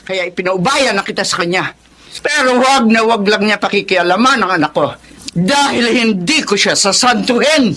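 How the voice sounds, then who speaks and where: A haughty, animated Filipino wife. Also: female voice, mid-range voice, moderate pace. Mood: cold, threatening. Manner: haughty, accusing, slipping into English. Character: domineering, wealthy, scornful wife and mother. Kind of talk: melodramatic radio drama acting.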